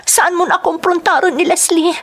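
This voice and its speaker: A woman's voice: very high voice, fairly even intonation, moderate pace. A woman playing a worried female kitchen worker who pleads anxiously with a friend. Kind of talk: audio drama acting.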